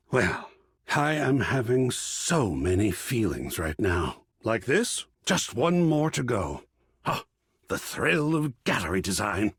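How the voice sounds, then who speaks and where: A man voicing an excitable, cultured art curator character in a video game, theatrical and erudite, with precise diction and a husky, breathy voice. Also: a little high, no room sound, studio quality, full character voice. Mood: excited, wistful. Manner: effusive, erudite, precisely enunciated, dramatic. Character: excitable, cultured art object come to life, gallery curator.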